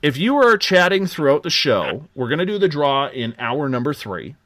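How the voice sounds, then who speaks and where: A man's voice: on the high side, big pitch swings, moderate pace, a bit bright, no room sound. A man hosting a live late-night radio talk show, giving upbeat, joking promotional patter. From Canada.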